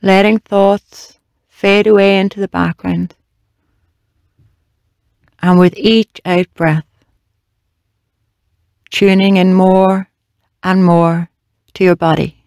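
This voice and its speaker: Creaky-voiced meditation guide, close and dry. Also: medium pitch, little pitch movement, no echo. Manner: slow, measured, gently instructing with long pauses. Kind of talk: guided body scan meditation.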